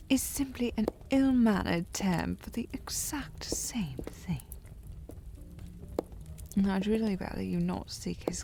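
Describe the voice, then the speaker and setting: A woman's voice: medium pitch, vocal fry, darkish tone, slight background noise, full character voice. A woman playing a tipsy, haughty, teasing young noblewoman in an intimate period audio drama, with a slightly slurred delivery and an affected, sliding accent. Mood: hushed, miffed. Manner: slightly slurred drunk delivery, playfully imperious, formal wording.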